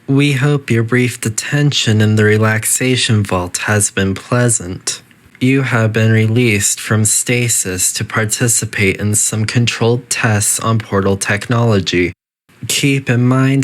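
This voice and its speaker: A video game facility AI acted out by a performer, mid-pitched and measured. It delivers scripted announcements with formal politeness. The detached, deadpan manner carries a cool menace. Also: natural voice. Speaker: facility announcer guiding test subjects.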